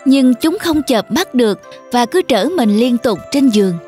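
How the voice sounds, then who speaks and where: A woman storyteller reading a scripted narration for a children's animated tale. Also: fairly high pitch, a little slow, soft background music.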